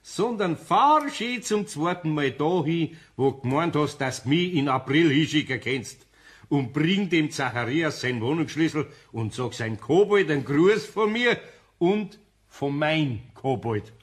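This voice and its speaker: Older radio-play master joiner, Bavarian accent, husky, animated intonation, chuckling, sly teasing. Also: male voice, on the high side, a little slow. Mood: teasing amusement. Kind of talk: children's radio play acting.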